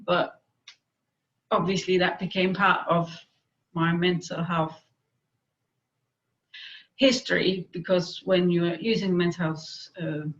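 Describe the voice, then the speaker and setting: Woman, Danish accent, reflective, pauses often, muffled lo-fi sound. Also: fairly low pitch, little pitch movement. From Denmark. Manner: thoughtful, with frequent pauses and fillers. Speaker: guest, peer expert on hearing voices. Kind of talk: reflective interview answers.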